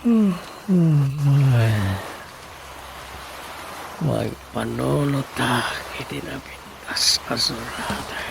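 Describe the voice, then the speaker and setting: A man with an Ilocano accent playing an unhurried comic rural man in a radio drama, with a creaky voice. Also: mid-range voice, noisy background, exaggerated voice. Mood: musing.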